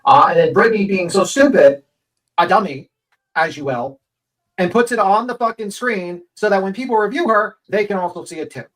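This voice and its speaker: A man's voice: high-pitched, normal speed, rough recording. A man on an angry online rant, scornful, insulting and sweary.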